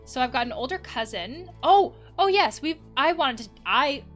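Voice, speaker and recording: Bright, higher-voiced woman over background music. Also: lively intonation, normal speed. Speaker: let's play host, counselor. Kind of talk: casual game commentary, reading game text aloud.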